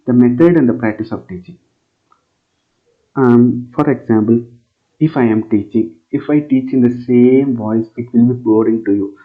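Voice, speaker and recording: A man from India explains a news article as an exam coaching lecture. His voice sits at an ordinary middle pitch, and the audio is thin and tinny, like a phone line. He pauses often and keeps checking in with his listeners. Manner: many fillers and "right?" checks, repeats phrases while explaining. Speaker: current affairs tutor.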